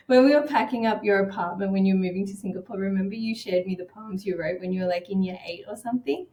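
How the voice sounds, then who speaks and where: A woman's voice: mid-range voice, fast talker, mellow. A woman guest on a podcast, casually telling personal stories in a conversational way. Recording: roomy, echoing sound.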